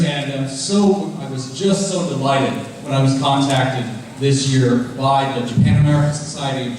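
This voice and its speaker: A man's voice: medium pitch, a little mellow. A middle-aged man, a professor leading a puppet troupe, gives an explanatory stage introduction and puts questions straight to his audience. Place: festival stage before an audience. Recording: very echoey, distant mic, faint noise.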